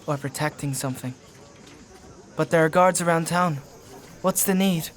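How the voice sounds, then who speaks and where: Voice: medium pitch, normal speed, close to an everyday voice. Actor playing a wary, streetwise fantasy companion. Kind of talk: dark fantasy audio drama acting.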